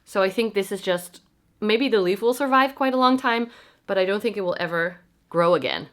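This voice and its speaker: A woman's voice: medium pitch, animated, brisk, a bit bright. A young adult woman with a stuffy, congested voice from a cold, chatting casually to camera at home, self-deprecating and explaining things as she goes. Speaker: plant vlogger. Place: home, indoors.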